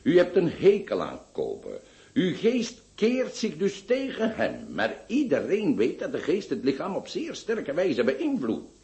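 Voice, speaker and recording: An actor playing a pompous, eccentric doctor who lectures and scolds grandly in a radio play. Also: male voice, fairly high pitch, wildly swooping pitch, measured pace, dark tone, heavily acted. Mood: lecturing. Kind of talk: radio drama acting.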